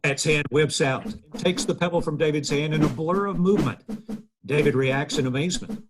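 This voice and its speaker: A man with a husky voice reading out script stage directions. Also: a little high, brisk, noisy background.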